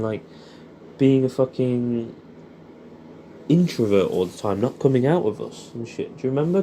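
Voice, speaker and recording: Young English male, mellow and soft-edged, casual halting catch-up monologue to an old friend, with noticeable background noise. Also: mid-range voice. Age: young adult. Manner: rambling, hesitant, informal with swearing.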